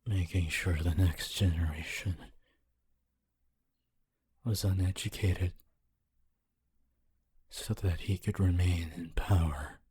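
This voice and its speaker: A man voicing a lonely, honorable ghost of a teacher in an ASMR roleplay, speaking in a hushed, breathy, halting and wistful way. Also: low voice, steady tone, heavily acted. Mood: somber, regretful. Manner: slow, halting, wistful, intimate. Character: honorable lonely male ghost, former teacher, tender and regretful.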